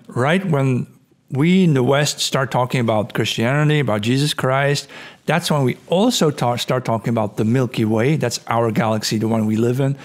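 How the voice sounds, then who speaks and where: A middle-aged man hosting a podcast, speaking from a home room into a studio mic, hoarse and clearing his throat, thinking aloud with fillers. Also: mid-range voice. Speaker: podcast host. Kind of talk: casual explanatory talk over screen share. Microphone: studio mic on boom arm.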